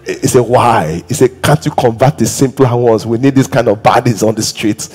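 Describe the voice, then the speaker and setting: Husky Nigerian pastor preaching on stage. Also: male voice, mid-range voice, normal speed. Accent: Nigerian English. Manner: animated, acts out quoted voices, breaks into singing and shouting. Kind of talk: sermon. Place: large church stage.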